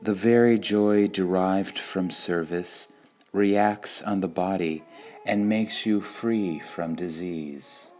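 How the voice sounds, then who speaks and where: A man reading spiritual sayings aloud in a calm, measured way, pausing between phrases. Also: low-pitched, soft background music. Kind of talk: slow meditative reading of quotations.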